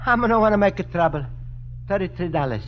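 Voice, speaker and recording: An old radio comedy recording, muffled and clearly noisy. a meek, earnest Italian immigrant with a very high male voice. He speaks plaintive, simple broken English with an Italian accent. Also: expressive, quick pace. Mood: anxious, meek. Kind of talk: 1950s radio comedy acting.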